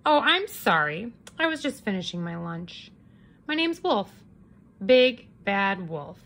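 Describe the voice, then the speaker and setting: A woman's voice: mid-range voice, sing-song, slow, fairly bright tone, heavily acted. Woman playing a sly, theatrical, hungry storybook wolf. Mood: mock-innocent. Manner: theatrical, mock-innocent storytelling. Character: sly, hungry, self-justifying big bad wolf. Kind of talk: children's picture book read-aloud.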